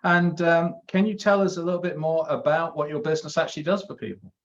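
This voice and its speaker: A man's voice: a little high, steady tone, fast. A man interviewing a new member for a professional network, friendly and hesitant, with many fillers and restarts. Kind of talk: interview conversation. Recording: dull lo-fi video-call sound.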